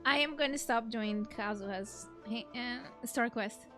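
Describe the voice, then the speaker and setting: A woman's voice: fairly high pitch, unhurried. A woman streamer chatting casually as she reacts to a game, with game music behind her. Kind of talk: casual gameplay reaction chat.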